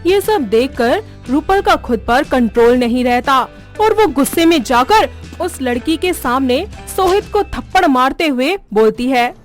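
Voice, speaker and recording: Female storyteller, high register, scripted cartoon narration over faint music. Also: animated, measured pace, hardly any pauses.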